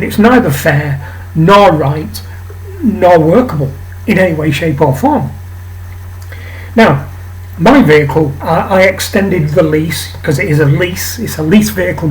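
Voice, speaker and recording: A man from England with a raspy voice today, hosting a rambling casual monologue to camera with many ums and restarts. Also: on the high side, a little mellow, rough recording. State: raspy voice, coughing. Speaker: channel host. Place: home flat.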